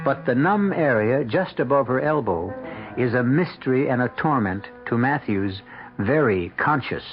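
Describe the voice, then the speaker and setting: A man hosting and narrating a vintage radio drama in a wry, theatrical, deliberate manner. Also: mid-range voice, expressive. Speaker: host and narrator. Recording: dull lo-fi old radio broadcast with music.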